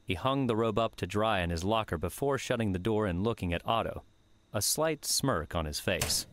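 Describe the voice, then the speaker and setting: A man's voice: on the low side, lively intonation, moderate pace, slight background noise. A man reading scripted audiobook narration.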